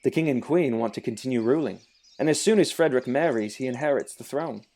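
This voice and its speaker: A man's voice: mid-range voice, fast, a little mellow, lightly acted. A man playing a loyal, level-headed young knight and confidant in an audio drama. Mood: serious, explaining.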